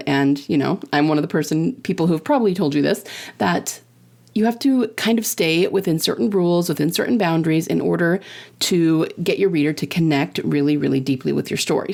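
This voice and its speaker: A woman's voice: deep voice, vocal fry, a little mellow. A woman hosting a podcast that teaches fiction writing, chatting casually and upbeat, often checking in with "right?" and "you know". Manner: upbeat, laughs between points.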